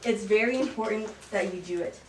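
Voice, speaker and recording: A woman's voice: mid-range voice, brisk, close to an everyday voice. A woman playing a self-serving, pushy male president in an amateur satire. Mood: insistent. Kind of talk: amateur student satire acting. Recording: roomy, distant mic, background noise.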